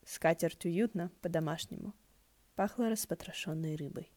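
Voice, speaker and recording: A woman's voice: lowish voice, moderate pace, mellow, no room sound. A woman narrating fiction from a script as an audiobook reader, in a wry storytelling style.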